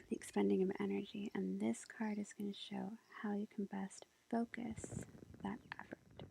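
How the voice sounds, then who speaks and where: A woman playing a sweet, gentle witch girlfriend, reading tarot in a soft, reassuring ASMR whisper. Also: mid-range voice. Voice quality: soft, breathy, creaky whisper. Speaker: ASMR roleplay performer. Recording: faint background noise, lo-fi.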